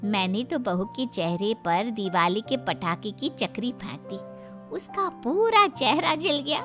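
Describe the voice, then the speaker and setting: A woman's voice: on the high side, animated, a little slow. A woman voicing a cruel, scheming older woman who gossips and boasts slyly in a cartoon story. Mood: gloating gossip. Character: gloating, cruel older woman, mother-in-law's scheming friend. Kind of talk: cartoon moral story dialogue. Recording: music under the voice.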